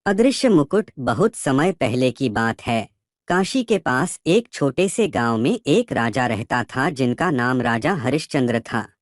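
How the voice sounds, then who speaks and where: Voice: medium pitch, big pitch swings, a little slow, dry recording, clean studio sound. A storyteller narrating a scripted moral tale for children.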